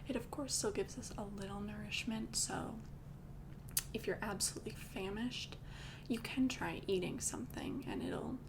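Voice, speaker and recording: A woman whispering as a calm vampire girlfriend. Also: mid-range voice, normal speed, gravelly, background noise. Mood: gentle whisper. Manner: soft whispering, chatty and reassuring. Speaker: ASMR creator. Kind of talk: ASMR roleplay.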